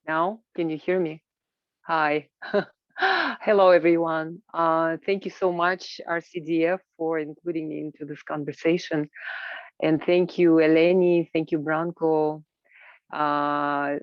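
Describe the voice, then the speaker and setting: A woman from Kazakhstan speaks hesitantly as an invited panellist, pausing often with filled pauses, on a muffled video call. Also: sits low, no room sound. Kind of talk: informal spoken presentation at an online roundtable. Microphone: video call microphone. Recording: muffled, band-limited video call audio.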